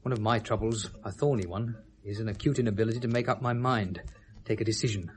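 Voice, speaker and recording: A man's voice: fairly low pitch, fast talker, a little mellow, lightly acted. An actor playing an anxious, indecisive, articulate academic man in a 1970s radio drama. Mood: troubled confiding.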